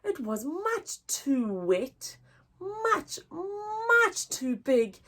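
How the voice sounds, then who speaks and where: Lady reading a kids book out loud, slow and super expressive, sing-song rhymes. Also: extremely high pitch. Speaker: children's storyteller. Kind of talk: expressive read-aloud storybook narration.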